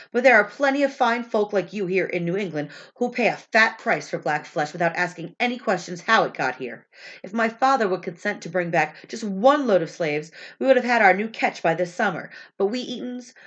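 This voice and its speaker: A woman's voice: mid-range voice, fairly bright tone. Storybook young sailor man read by a woman: proud, taunting, indignant, congested voice, dull recording. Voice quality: stuffy. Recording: dull, lo-fi sound.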